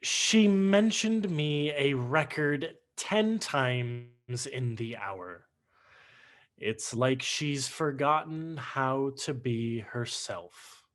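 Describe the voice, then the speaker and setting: A man playing a reflective son who recites his journal in a staged play reading, measured and deliberate, over a video call. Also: mid-range voice, fairly bright tone.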